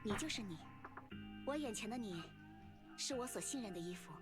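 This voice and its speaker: A woman voicing an earnest young woman in an anime game cutscene, with breathy, emotional, dramatic delivery. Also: mid-range voice, animated, brisk, faint music behind, natural voice. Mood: tender, resolute. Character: earnest, dramatic young women, a singer and her bodyguard.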